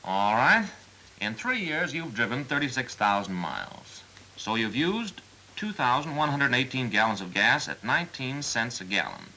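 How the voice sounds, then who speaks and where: Mid-century car salesman: polite, persuasive, methodical, piercingly bright delivery on a lightly hissy film soundtrack. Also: mid-range voice, heavily acted, rough recording. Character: smooth, persistent car salesman closing a deal. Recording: old film soundtrack with faint hiss.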